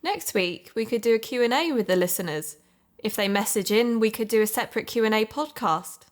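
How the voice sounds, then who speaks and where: A woman's voice: a little high, fairly quick, natural voice. Woman playing a methodical amateur investigator. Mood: chatty, keen. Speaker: podcast co-host in an audio drama. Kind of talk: conversational audio drama acting.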